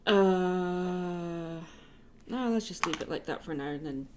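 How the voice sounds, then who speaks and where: A woman's voice: lowish voice, slow, a little hiss or hum. A woman chatting casually and playfully while livestreaming her artwork. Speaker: digital artist livestreaming.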